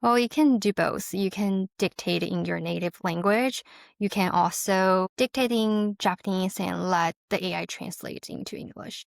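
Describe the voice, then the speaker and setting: Female interview guest, mid-range creaky voice, conversational and affirming product explainer, dry room. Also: medium pitch, moderate pace, no echo. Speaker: company representative, interview guest.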